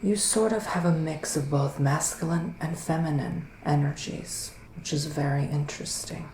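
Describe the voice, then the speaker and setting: A man performing a soft-spoken, often whispered ASMR roleplay as a psychic witch, musing in a hesitant, drawn-out, mystical way. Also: a little high, fairly even intonation, roomy sound, slight background noise, low-quality recording. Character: psychic male witch giving a mystical reading.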